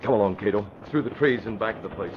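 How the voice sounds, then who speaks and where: An actor playing a composed, serious crime-fighting hero who gives controlled, urgent orders in an old radio adventure. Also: male voice, lowish voice, quick pace, natural voice. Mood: urgent, commanding. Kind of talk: 1940s radio adventure acting. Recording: noisy, muffled old radio recording.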